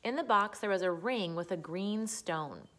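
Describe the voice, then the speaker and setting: A woman narrates a children's book. Her voice is of middle pitch. She reads aloud in the style of a storyteller. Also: normal speed, a bit bright.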